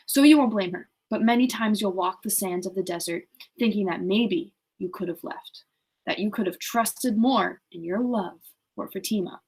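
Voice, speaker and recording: A wise desert alchemist from a storybook, read aloud by a woman. The mentor speaks in measured, prophetic sentences at an even, knowing remove. The pitch is that of an ordinary female reading voice. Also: breathy edge, poor audio. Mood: solemn, foretelling.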